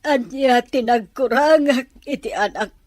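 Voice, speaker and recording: A woman acts out an anxious, grieving mother in a radio-style drama. Her voice is fairly high and very slow, plaintive in manner, with a dry, close sound. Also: no echo. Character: worried, grieving mother of a missing daughter. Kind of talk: Ilocano audio drama acting.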